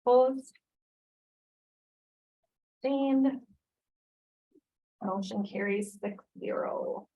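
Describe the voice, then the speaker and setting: A woman's voice: a little high, very slow, mellow, poor audio. A woman chairing a school board meeting, reading out motions and calling the votes in a formal way.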